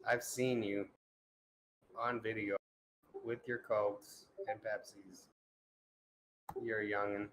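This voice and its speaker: A man's voice: fairly low pitch, level tone, slow pace, pauses often, a bit bright, poor audio. A man in his forties chatting casually, loose and profane, teasing the others with jokes. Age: 40s. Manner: loose, profane, joking banter. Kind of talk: casual group chat.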